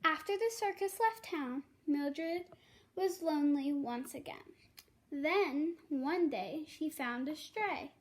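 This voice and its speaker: Young girl reading slowly, high voice. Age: child. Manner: careful, halting reading. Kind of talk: children's storybook read-aloud.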